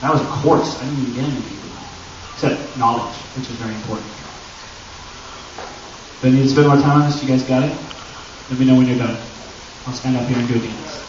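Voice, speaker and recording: A man's voice: medium pitch, fairly even intonation, fast talker, long pauses, darkish tone. A distant, muffled recording in a noisy, reverberant room carries a man presenting at a convention panel. He talks informally and directly to the crowd in a chatty, joking way, like a host running the session. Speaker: panel presenter and audio drama creator. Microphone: distant camera microphone.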